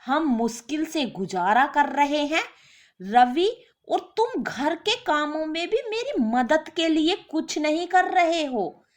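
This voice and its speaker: A woman plays a fed-up working wife in a storybook tale. She scolds with sarcasm and reproach, in a high, animated voice that takes its time. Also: takes it slowly. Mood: weary, reproachful.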